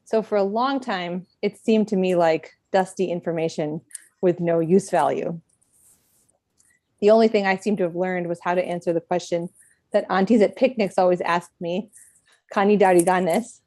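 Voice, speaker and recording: A woman in middle age, reading a prepared personal talk at a book event in a reflective, measured way with gentle self-deprecation. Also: on the low side, steady tone, no room sound. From United States.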